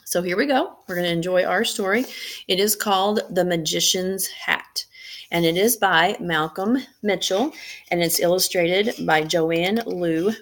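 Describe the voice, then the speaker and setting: A woman's voice: on the low side, a little slow. A middle-aged woman, an educator, reading aloud expressively and speaking to young children. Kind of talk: read-aloud storytelling for children.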